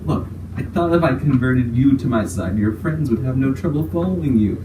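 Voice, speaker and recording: A man's voice: mid-range voice, expressive, brisk, close to an everyday voice. A man voicing a mock-pompous, scheming cartoon villain in an improvised comedy fandub. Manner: mock-pompous, rambling, silly. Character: goofy scheming male villain, mock-pompous cult-leading mad genius. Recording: roomy, distant mic with clear noise.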